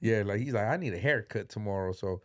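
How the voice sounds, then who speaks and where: A man's voice: lowish voice, fast, no room sound, clean studio sound. A middle-aged man hosting a podcast in a loose, rambling way, congested and full of slang and fillers. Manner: rambling, filler-heavy, laid-back slang. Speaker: podcast host. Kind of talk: casual chat.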